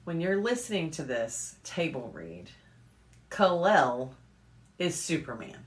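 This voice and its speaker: A female podcast co-host speaks in a relaxed conversational style. She sounds like she is chatting casually with a partner on the show. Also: deep voice, slow. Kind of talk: casual chat.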